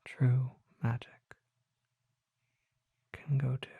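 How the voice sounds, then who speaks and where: A performer voicing a mysterious, gentle and solemn vampire apothecary in a breathy, hushed whisper, with formal, deliberate phrasing for an ASMR roleplay. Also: medium pitch, little pitch movement, no room sound, dull audio, exaggerated voice.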